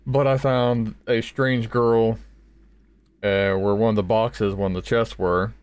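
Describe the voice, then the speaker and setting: A man giving casual, rambling let's play commentary, halting as he goes and swearing casually. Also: fairly low pitch, level tone, clean tone, dry recording. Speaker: gaming video creator.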